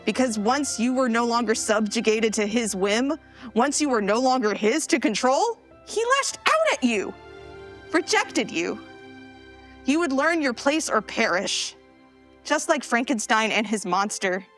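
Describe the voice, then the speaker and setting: A woman playing an excitable, earnest geeky heroine in a live sci-fi comedy drama, speaking emphatically. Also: a little high. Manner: expressive, emphatic, pleading.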